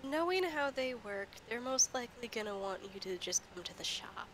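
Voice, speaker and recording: A woman acting as game master on a tabletop stream, improvising character dialogue and narration in halting, drawn-out phrases. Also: on the high side, animated, fairly bright tone, slight background noise.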